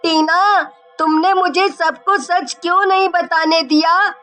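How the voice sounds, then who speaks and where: A woman voicing an excitable, affectionate young boy who scares easily, in a children's horror cartoon. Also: very high voice, takes it slowly, soft background music, full character voice. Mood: puzzled, alarmed.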